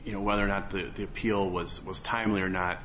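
A man speaking as a lawyer in oral argument on appeal, halting and hedging, often repeating his phrases. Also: low-pitched, level tone, fairly bright tone. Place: courtroom. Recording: muffled courtroom recording, faint background noise.

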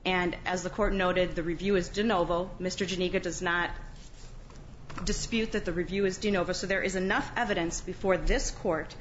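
A woman speaking as a lawyer, giving a formal, firm and measured argument to an appeals court. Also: lowish voice, fairly even intonation. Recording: muffled courtroom recording, faint background noise.

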